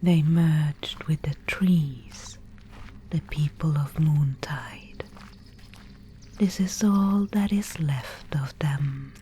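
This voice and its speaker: A woman voicing a mysterious, solemn Spindlemaid guide in a whispered ASMR dark-fantasy roleplay, with breathy, incantatory, archaic speech. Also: sits low, slight background noise, exaggerated voice. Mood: calm, ominous. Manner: slow, incantatory, archaic phrasing.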